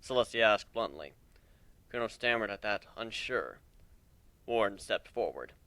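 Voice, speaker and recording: A man's voice: fairly low pitch, expressive, unhurried, bright, forceful tone, no echo. A man narrating a fan fiction story in a scripted, dramatic reading style.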